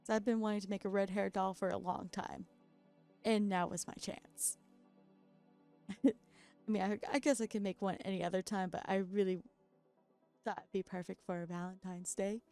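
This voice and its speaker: A woman talks through her crafting in a relaxed, chatty voice-over with frequent self-deprecating laughs. She is worn out from a sleepless night, so her voice is creaky and keeps cracking. Faint background music plays beneath her. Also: medium pitch, normal speed, long pauses. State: very tired after staying up all night. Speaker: doll customizing creator. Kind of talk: casual craft voice-over narration.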